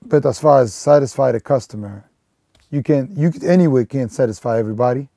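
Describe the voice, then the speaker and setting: A man's voice: mid-range voice, brisk, creaky, mellow, no echo, studio quality. A man in his thirties from Belgium, a sneaker store manager, talking casually in a rambling way with lots of fillers and restarts. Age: 30s. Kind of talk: casual documentary interview.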